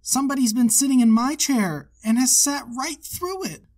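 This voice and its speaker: Squeaky high little bear cub. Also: male voice, expressive, normal speed. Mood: upset. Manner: crying out in a little wee voice. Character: little wee bear cub, small upset boy.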